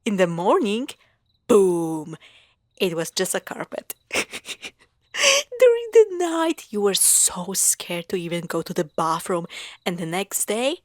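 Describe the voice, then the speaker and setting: A woman's voice: medium pitch, huge pitch swings, no echo, clean studio sound. A woman playing a skeptical, teasing young girlfriend in an audio roleplay, chatting casually with friends. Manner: casual, teasing, hesitant pauses. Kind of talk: audio roleplay acting, conversational.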